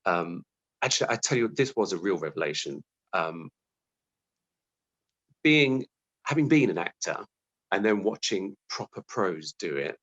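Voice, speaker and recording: A man's voice: deep voice, expressive, unhurried, long pauses, a bit bright, lo-fi sound. A man giving a casual interview as a guest, thoughtful and hesitant, often restarting his sentences. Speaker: interview guest, television scriptwriter.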